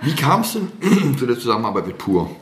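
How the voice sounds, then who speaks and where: A man's voice: medium pitch, very animated, fairly quick, slight background noise. A man interviewing a guest, asking friendly probing questions that often end in a tag question. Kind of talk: casual interview. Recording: echoey room.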